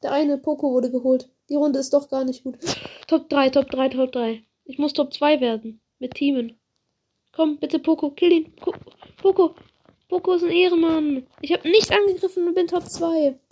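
A woman with a slight cold, giving excitable, chatty commentary on her own gameplay, swearing and apologizing as she plays. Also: sits high, normal speed. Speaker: gaming video creator.

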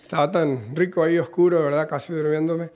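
Slightly hoarse, joking male pastor. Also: a little high, fairly even intonation, fairly quick, darkish tone. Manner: humorous, self-deprecating, chatty asides. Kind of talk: conversational sermon. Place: church in Costa Rica.

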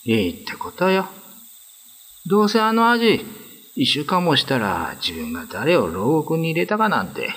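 A man's voice: a little high, big pitch swings, moderate pace, fairly bright tone, slightly rough, heavily acted. A man playing a kind, modest young male prison guard in a radio drama, with casual, boyish, reassuring speech. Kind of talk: Japanese radio drama acting.

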